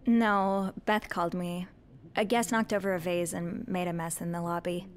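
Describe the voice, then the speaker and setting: A woman's voice: on the low side, little pitch movement, normal speed, a little hiss or hum, close to an everyday voice. A woman voicing a reserved, curious hotel maid in a narrative video game. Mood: hesitant, reserved. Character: young female hotel maid, nosy, reserved, soft-spoken.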